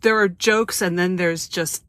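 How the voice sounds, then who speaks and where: A woman's voice: fairly low pitch, fairly even intonation, a little slow, fairly bright tone, no echo, clean studio sound. An American woman hosting a podcast interview in a casual, conversational style.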